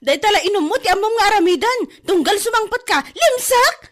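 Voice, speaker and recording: A woman acts out a nagging woman with an Ilocano accent who scolds a drunk. Her voice is very high and shrill. She keeps up a sharp, scolding tone. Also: lively intonation, a little fast.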